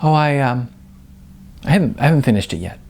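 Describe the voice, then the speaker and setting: A man's voice: medium pitch, expressive, fairly quick, darkish tone, voice pushed up. A man voicing a timid, apologetic young woman with a hesitant, stammering manner in an audiobook. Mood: awkward, hesitant. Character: Jasmine, timid young woman, apologetic, candid, eager to smooth things over. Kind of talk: audiobook character voice.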